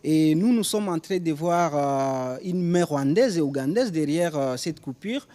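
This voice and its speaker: Congolese french guy being interviewed, kinda high voice, repeats words hunting for the next phrase. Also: animated, a little slow, warm tone. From DR Congo, Rutshuru in North Kivu. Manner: hesitant, repeats words as they search for phrasing. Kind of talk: interview answers on current affairs.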